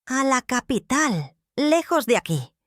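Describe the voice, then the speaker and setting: A woman voicing a worried middle-aged father who urgently tells his family to flee, in a hushed, dry-sounding voice. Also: high voice, big pitch swings, slow pace, no echo, voice pushed up, full character voice.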